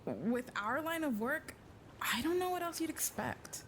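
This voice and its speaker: A woman's voice: high-pitched, lively intonation, measured pace, fairly bright tone, a little hiss or hum. A woman playing a guarded, bitter secret agent in an audio drama, terse and sardonic. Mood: guarded, curt. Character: guarded, bitter, curt female covert agent.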